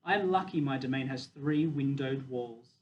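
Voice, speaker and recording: A man voicing a proud, wistful, dignified captive silverback gorilla in a measured, deliberate storybook reading. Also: medium pitch, a little mellow, lightly acted. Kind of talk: children's novel read aloud in first person. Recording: dull, distant mic with faint noise.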